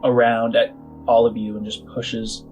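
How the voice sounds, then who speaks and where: A man hosting and narrating a tabletop roleplaying game in halting, improvised short phrases. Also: mid-range voice, little pitch movement. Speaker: game master and host of a tabletop game show. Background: music.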